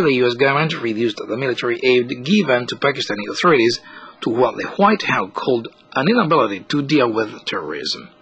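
A man's voice: mid-range voice, fairly bright tone, rough recording. A man reading scripted news commentary as a radio reporter.

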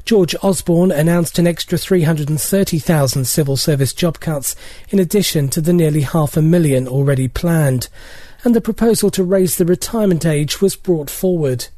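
A man's voice: a little high, normal speed, dark tone, dry recording, clean studio sound. A man delivering a scripted radio news report as a correspondent.

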